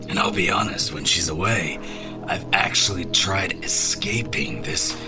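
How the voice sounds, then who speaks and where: A man's voice: low-pitched, slow, vocal fry, over music, heavily acted. A man playing a worried, weary companion of a fragile seer in an audio drama, earnest and sighing. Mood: weary, confiding.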